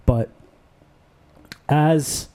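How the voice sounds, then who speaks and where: A man from Bangladesh, co-host of a podcast, telling his life story in a relaxed, rambling way. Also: drawn-out words. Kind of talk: casual podcast conversation.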